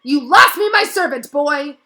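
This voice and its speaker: Spiteful aristocrat man female reader, stiff, contemptuous, sharp-edged storybook villain. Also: sits high, animated, unhurried, pitched above the performer's own voice, heavily acted. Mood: furious. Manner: stiff, hissing, spitting contempt. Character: haughty, spiteful adult male aristocrat villain.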